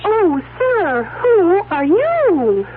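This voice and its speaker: Confused lady shopper in a radio ad, high and slow, hissy tinny sound. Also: wildly swooping pitch, full character voice. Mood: bewildered. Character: flustered, confused woman shopper. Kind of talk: radio ad sketch acting. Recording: tinny phone-like radio, clear hiss.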